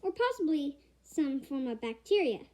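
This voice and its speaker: Woman acting out a male medical expert, storybook, earnest, evasive, high voice. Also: very animated, normal speed, mellow, slight background noise. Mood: authoritative.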